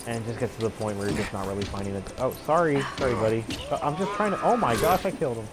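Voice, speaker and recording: A young man chatting casually as he streams a game, rambling and halting in an amused way. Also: mid-range voice, lively intonation, background noise. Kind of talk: casual live gameplay chat. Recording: loud game music and sounds behind. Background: video game music and effects.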